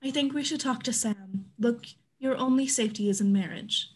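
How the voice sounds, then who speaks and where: A woman playing a worldly, caring madam in a staged play reading, with a slightly husky voice. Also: fairly high pitch, moderate pace. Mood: caring, practical. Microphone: video call.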